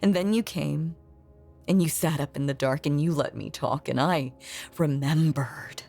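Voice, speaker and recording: A woman voicing a lonely, possessive young ghost in an intimate audio roleplay, speaking in a hushed, halting, breathy voice that is tender and sweetly sinister. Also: deep voice, soft background music, heavily acted. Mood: sorrowful, possessive. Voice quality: breathy, often slipping into whisper.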